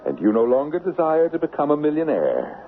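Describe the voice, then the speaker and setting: An actor playing the Devil as a mocking, smooth-talking gentleman schemer in a 1970s radio drama. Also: fairly high pitch, huge pitch swings, a little fast. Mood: mocking menace. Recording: muffled, hissy old radio broadcast recording.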